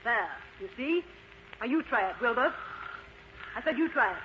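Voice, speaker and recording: A man's voice: very high voice, lively intonation, normal speed, pitched above the performer's own voice. A man voicing a strict, exasperated female ghost schoolteacher in a storybook tale, scolding and commanding in a theatrical way. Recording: dull, lightly noisy recording.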